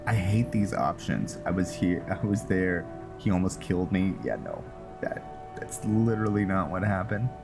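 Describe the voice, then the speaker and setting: A man's voice: low voice, expressive, normal speed, gravelly. A man casually commenting while playing a role-playing game, joking and thinking aloud. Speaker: gaming video host. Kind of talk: casual let's-play commentary. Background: game music.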